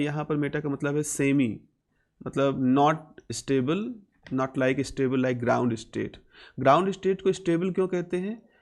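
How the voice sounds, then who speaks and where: A man with an Indian accent lecturing as a physics teacher, explaining step by step and repeating key phrases. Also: a little high.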